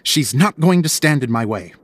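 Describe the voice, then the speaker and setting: A man's voice: medium pitch, lively intonation, normal speed, slight background noise, close to an everyday voice. A man voicing an earnest, slightly flustered middle-aged playwright and stage director in a video game. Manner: earnest, hesitant, theatrical when narrating.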